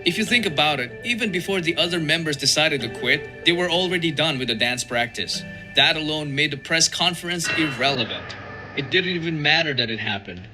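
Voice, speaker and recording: A man's voice: fairly high pitch, pushed and bright, low-quality recording. A man narrating a documentary in a scripted, dramatic, rhetorical style.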